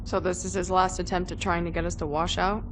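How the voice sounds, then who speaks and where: A woman's voice: fairly low pitch, little pitch movement, fairly quick, lightly acted. A woman playing a teasing, friendly female warrior cadet. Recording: noisy background.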